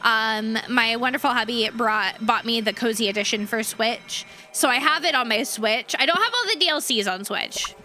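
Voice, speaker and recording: A woman streamer chatting casually with her audience while gaming, bubbly and sweet, her voice going hoarse. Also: fairly high pitch, moderate pace, hardly any pauses, sharp tone, soft background music, rough recording. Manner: bubbly and sweet, thanking chat.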